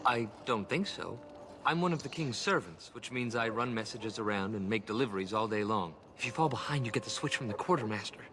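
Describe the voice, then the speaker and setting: An actor playing a nervous young elf servant in a video game, stammering and deferential. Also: male voice, fairly low pitch, expressive, a little fast, background noise, natural voice. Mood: anxious, chatty.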